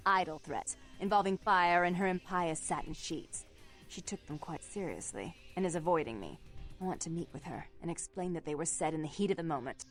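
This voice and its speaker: A haughty vampire club owner from a dramatic video game. Her voice is fairly low for a woman, with formal, clipped, commanding delivery. Faint music sits behind her.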